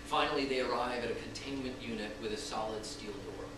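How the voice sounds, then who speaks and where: A man's voice: medium pitch, steady tone, fairly quick. A man narrating the stage directions of a script at a live reading, in scripted present-tense prose. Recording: echoey room, distant mic, noisy.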